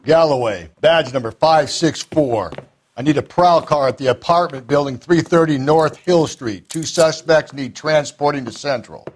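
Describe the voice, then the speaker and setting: An actor playing a gruff, cynical American police detective in a 1940s crime video game, with a sardonic manner. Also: male voice, on the high side, normal speed, slight background noise, lightly acted. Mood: businesslike.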